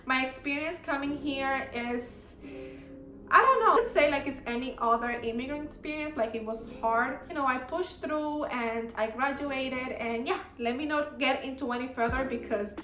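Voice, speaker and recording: A young Dominican woman with a Spanish-accented English voice, bright and a little high, rambling along with lots of fillers. She sounds like she is in an echoey room. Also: normal speed, a little hiss or hum. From Dominican Republic. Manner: rambling, many fillers like "like" and "you know". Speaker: YouTuber. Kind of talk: casual chat to camera.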